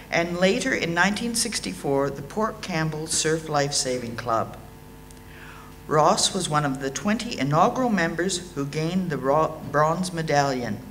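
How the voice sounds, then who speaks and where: An Australian woman in her sixties reading a prepared eulogy aloud in a measured, dryly understated way. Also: lowish voice, fairly bright tone, slight background noise, rough recording. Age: 60s. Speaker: eulogy reader at a memorial. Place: indoor hall.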